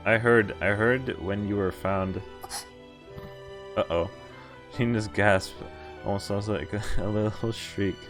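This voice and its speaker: Low voiced guy reading visual novel text out loud on stream, music playing behind him. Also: steady tone, takes it slowly, pauses often. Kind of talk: reading game text aloud with casual reactions.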